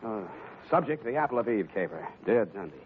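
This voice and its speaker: Dry exasperated private eye from old radio show, really up and down voice, tinny sound. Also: male voice, lowish voice, sing-song, a little fast. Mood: dry, businesslike. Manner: dry, sardonic, theatrical. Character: wry, exasperated hard-boiled male private detective. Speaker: lead actor in a radio detective series. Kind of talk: 1940s radio acting. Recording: noisy, narrow-band 1940s radio recording.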